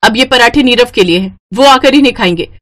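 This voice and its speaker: A woman's voice: a little high, a little fast, fairly bright tone, voice pushed up, natural voice. A woman voicing a suspicious, spiteful young wife who scolds and accuses in a moral story. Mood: angry. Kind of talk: Hindi moral story voice acting.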